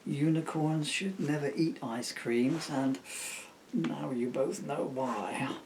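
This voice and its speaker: Man reading as a slow, sheepish magic unicorn. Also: a little high, gravelly, background noise. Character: magic unicorn, sheepish and hopeful children's friend.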